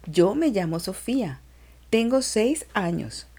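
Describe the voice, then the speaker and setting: A woman reading a children's lesson as a six-year-old girl who tells her dream in a slow, expressive sing-song. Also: mid-range voice. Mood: cheerful.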